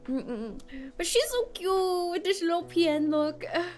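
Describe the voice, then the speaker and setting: Woman streamer, high voice, playful gameplay commentary with giggles; faint game music behind. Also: very animated, a little slow.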